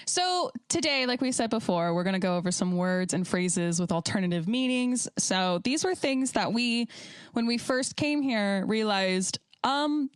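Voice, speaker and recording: A young woman from California co-hosting a podcast in a bubbly, giggly, expressive way. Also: a little high, measured pace, clear, resonant tone, no echo. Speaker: podcast co-host. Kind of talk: casual chat.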